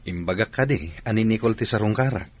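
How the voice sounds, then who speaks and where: A man's voice: fairly low pitch, quick pace, close to an everyday voice. A man playing a polite young village suitor in a Filipino radio comedy-drama, courteous and earnest, with a Filipino accent.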